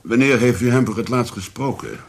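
An actor playing a courteous, methodical police commissioner in a Dutch radio play, asking questions politely and carefully. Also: male voice, mid-range voice, a little fast, a little hiss or hum, close to an everyday voice. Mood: politely probing.

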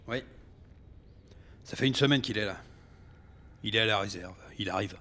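An actor playing a serious, matter-of-fact rebel leader in a science fiction audio drama. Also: male voice, fairly low pitch, moderate pace, sharp tone. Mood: serious, businesslike. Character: reproachful male rebel leader briefing fighters.

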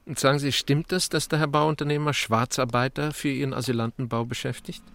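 A man playing an uneasy, suspicious town writer in a radio drama, speaking in a halting, questioning way. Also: mid-range voice, steady tone, gravelly, a bit bright. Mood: probing, dry. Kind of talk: naturalistic German radio drama acting.

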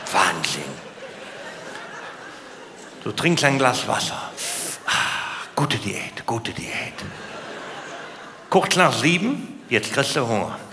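Male stand-up comedian, animated storyteller, repetitive phrasing, live hall with long reverb and clear noise. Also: medium pitch. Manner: repeats words, acts out little scenes. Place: large live venue. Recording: echoey hall, live stage, noisy.

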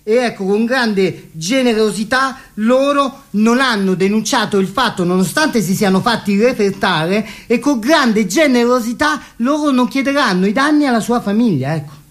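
A man's voice: very high-pitched, lively intonation, moderate pace, fairly bright tone. Casting: a man as a smug male police chief for radio satire, hesitant, full of tics, falsely kindly. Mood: smugly insinuating. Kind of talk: satirical radio theatre interview.

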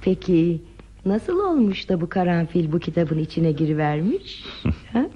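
An actress playing a tender, curious woman in a radio play, with a soft, dramatic and inquisitive way of talking. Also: fairly low pitch, sing-song, measured pace, a little hiss or hum. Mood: playfully curious. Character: tender, curious woman drawing out a shy man's memories. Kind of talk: radio theatre acting. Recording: dull, muffled radio drama recording.

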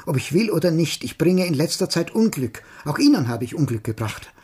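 An actor playing an anxious, weary ex-soldier burdened by guilt, with a husky voice, in a radio drama. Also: male voice, a little high, big pitch swings, fairly quick, darkish tone. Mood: despairing.

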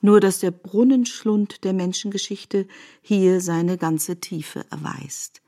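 A woman narrating scripted literary prose for radio in a measured, formal, essay-like manner. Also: mid-range voice, dark tone.

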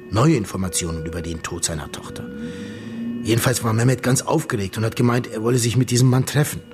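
An actor playing the grave, loyal friend of a murdered man in a radio drama, speaking in an earnest, measured way. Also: lowish voice, music in the background, lightly acted. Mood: earnest, firm. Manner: measured, earnest, telling things step by step. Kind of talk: German radio drama dialogue.

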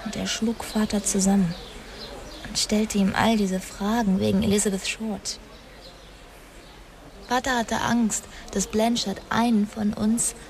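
Creaky-voiced, guarded confessing daughter. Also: female voice, medium pitch, a little mellow, a little hiss or hum. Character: guarded, pleading daughter confessing a family secret. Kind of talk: dramatic radio play acting.

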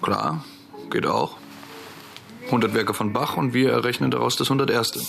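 A man's voice: mid-range voice, moderate pace, vocal fry, fairly bright tone, faint music behind. An actor playing a self-assured young male programmer in a radio drama, matter-of-fact and dry. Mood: confident, businesslike. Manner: matter-of-fact, cocky, explaining. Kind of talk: German radio drama acting.

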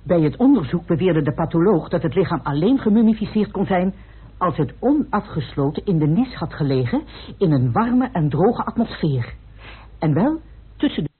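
An actor playing a methodical researcher explaining evidence precisely in a Dutch radio play. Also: male voice, sits high, sing-song, moderate pace, slightly rough, full character voice. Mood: methodical, earnest. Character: Peacock, methodical, meticulous male researcher laying out evidence. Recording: muffled, band-limited old radio recording.

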